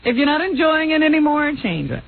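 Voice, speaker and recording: A woman's voice: high voice, sing-song, brisk, creaky. A woman co-hosting a radio show, chatting casually, laughing often and teasing her partner. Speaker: radio news co-host. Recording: tinny phone-like radio recording.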